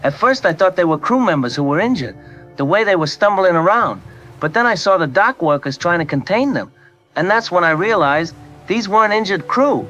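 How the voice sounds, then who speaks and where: An actor playing a shaken coast guard captain who gives a hesitant, halting statement. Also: male voice, sits high, lively intonation, bright, forceful tone, dull audio, faint music behind. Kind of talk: audio drama testimony.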